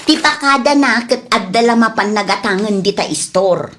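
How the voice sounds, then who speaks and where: High female voice, Ilocano accent, suspicious, nagging household figure, radio comedy drama acted by a woman. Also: moderate pace, a bit bright, a little hiss or hum, lightly acted.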